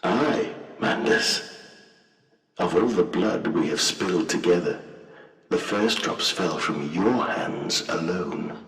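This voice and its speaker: A man's voice: low-pitched, slow pace, fairly bright tone. A male actor playing a grandiose, menacing and pleading sentient machine god in a video game, with a theatrical, oratorical delivery that swings from coaxing to ranting. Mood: proud, accusing. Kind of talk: dramatic video game monologue. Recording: cavernous echoing hall, distant voice.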